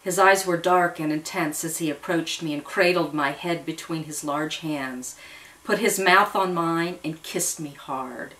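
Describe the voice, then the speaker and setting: A woman storyteller narrates in the first person. Her voice sits a little low, and she reads the tale as drama. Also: unhurried, a bit bright, low-quality recording. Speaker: storyteller reading own serial story.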